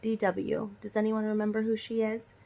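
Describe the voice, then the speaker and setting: A woman's voice: medium pitch, monotone, normal speed, vocal fry, mellow. A woman teacher doing a storybook read-aloud for young children, instructing and asking them direct questions. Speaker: elementary school teacher.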